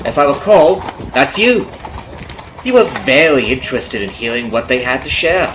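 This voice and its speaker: A man's voice: medium pitch, very animated, normal speed, background noise, lightly acted. A man playing a vengeful carriage driver in an ASMR roleplay, taunting and conversational, with a friendly surface over cold menace. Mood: knowing, mocking. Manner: taunting, conversational with rhetorical tag questions. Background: clip-clopping horse and carriage ambience.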